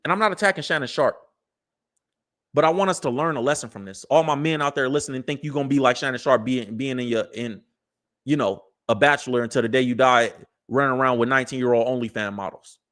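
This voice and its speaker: A man's voice: medium pitch, quick pace, a bit bright. A man in his thirties, hosting a commentary show, lecturing his listeners in a preachy, slang-filled way. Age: 30s. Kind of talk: animated live commentary.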